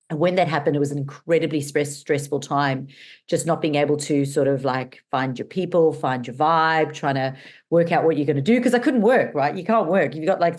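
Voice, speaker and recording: A middle-aged woman with a mixed South African and Australian accent, talking as an interview guest. Her voice sits low for a woman. She tells her story in an animated, chatty way, full of fillers. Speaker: guest, business owner. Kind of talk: casual interview chat.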